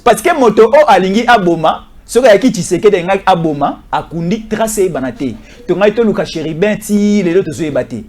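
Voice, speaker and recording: A man's voice: high-pitched, animated, a little fast, few pauses, darkish tone. A Congolese man discussing politics in an argumentative, questioning way, switching between languages. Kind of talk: political discussion mixing French and Lingala.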